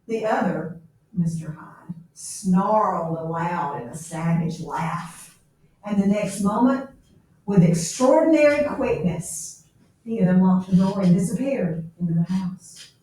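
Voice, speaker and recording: Female read-aloud teacher, unhurried, explanatory asides, recorded far off in a very echoey room. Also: mid-range voice, mellow. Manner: explanatory, checking in with "okay?". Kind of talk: read-aloud of a classic novel with explanatory commentary. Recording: echoey room, distant mic, faint noise.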